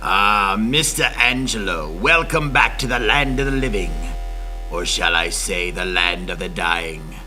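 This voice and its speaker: A man's voice: low voice, expressive, unhurried, pushed and bright, soft background music, full character voice. A man playing a sinister, menacing doctor villain with mock-courteous, theatrical delivery in an old-time radio drama. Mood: gloating menace.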